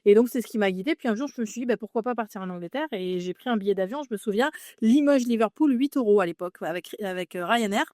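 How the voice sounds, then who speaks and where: A woman's voice: medium pitch, fast, dark tone, dry recording, clean studio sound. A woman from France in her thirties, a podcast guest telling her story in a chatty way with many fillers and restarts. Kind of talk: casual interview conversation.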